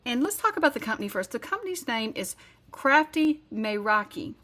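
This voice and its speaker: Southern-accented woman hosting a craft channel; bright, chatty, rambles and corrects herself. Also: a little high, very animated, brisk. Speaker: card-making channel host. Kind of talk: casual craft tutorial.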